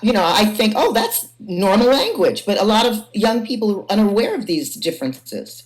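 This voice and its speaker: A woman's voice: medium pitch, very animated, normal speed, rough recording. A woman hosting a panel in a casual, conversational style, reworking her phrases as she goes. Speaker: host, panel moderator.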